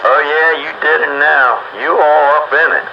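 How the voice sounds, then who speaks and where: A man's voice: on the high side, moderate pace. A man chatting casually on the radio in a folksy, rambling manner. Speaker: amateur radio operator. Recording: dull radio transmission, clear background noise.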